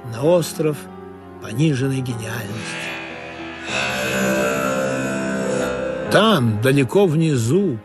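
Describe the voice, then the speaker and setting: A seafaring storyteller with a high, very lively voice. He narrates a comic radio play dramatically, with music behind him. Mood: grandly wistful. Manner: animated, dramatic. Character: lively seafaring storyteller recounting absurd adventures. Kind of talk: theatrical scripted narration in a comic audio play.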